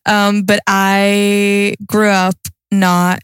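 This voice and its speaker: A woman's voice: mid-range voice, completely flat delivery, extremely slow, clean tone, no room sound. A young woman with a Norwegian accent, chatting as a podcast co-host in an informal, sweary, self-deprecating way. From Norway. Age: young adult. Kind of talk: casual podcast chat.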